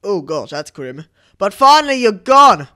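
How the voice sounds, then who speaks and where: A young adult with an excitable, chatty manner giving casual gameplay commentary. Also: medium pitch, unhurried, noisy background. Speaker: gaming YouTuber. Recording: voice over loud game music. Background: game music.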